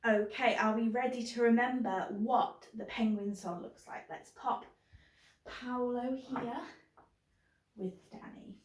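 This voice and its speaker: A woman's voice: on the high side, fairly even intonation, takes it slowly, a little hiss or hum. A woman presenting a children's book club in an encouraging way, recorded with a roomy echo. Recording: roomy, echoing sound.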